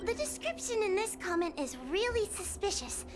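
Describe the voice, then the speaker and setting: A woman's voice: very high voice, very animated, moderate pace, over music. A woman voicing a timid but earnest young girl in a video game, speaking hesitantly and politely. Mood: earnest, cautious.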